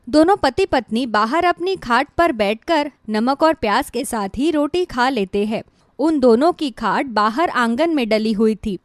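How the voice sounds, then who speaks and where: A woman's voice: sits high, no room sound, clean studio sound. A woman giving scripted narration for a cartoon moral story.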